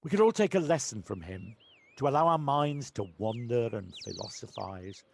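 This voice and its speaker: A man's voice: medium pitch, big pitch swings, normal speed, creaky, darkish tone. A man playing a wise, affectionate village handyman in an audio play, plain-spoken and reassuring. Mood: fond. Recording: amateur home recording with background noise.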